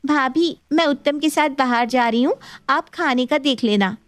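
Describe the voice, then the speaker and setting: A woman's voice: high-pitched, moderate pace, no echo, natural voice. A woman playing a naive, earnest young daughter-in-law in a dramatized story. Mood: breezy. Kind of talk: animated story dialogue.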